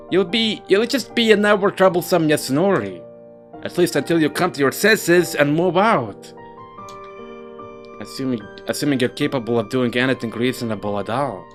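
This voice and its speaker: A reader voicing a cold, menacing clan heir in a visual novel, speaking low, slow and condescending over faint music. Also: lively intonation, close to an everyday voice.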